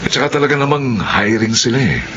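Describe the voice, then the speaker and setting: An actor playing a supportive, easygoing young friend in a Filipino radio drama, friendly and encouraging. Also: male voice, mid-range voice, expressive, fast talker, bright, forceful tone. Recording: muffled radio drama, clear noise.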